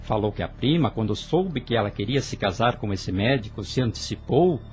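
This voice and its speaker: A man's voice: fairly low pitch, expressive, normal speed, darkish tone, natural voice. A man voicing a young brother in an audio drama, earnest and hesitant as he confesses. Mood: tense. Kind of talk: radio drama acting.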